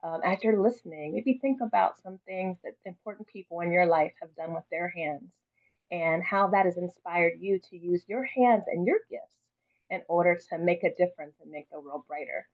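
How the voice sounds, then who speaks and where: A woman's voice: fairly low pitch, normal speed, narrow, tinny audio. A woman speaking expressively and encouragingly, as an author introducing and reading a children's story aloud.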